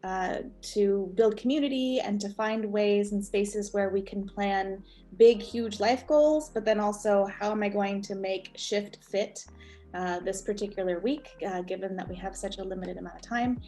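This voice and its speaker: A woman hosting an online workshop, friendly and halting, with frequent uhs, heard over a video call. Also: medium pitch, dark tone. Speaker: workshop host.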